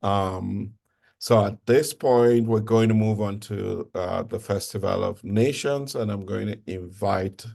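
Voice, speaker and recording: A man hosting a community video call, speaking in a halting, hesitant way. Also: lowish voice, creaky, darkish tone, breathy edge. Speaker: call host.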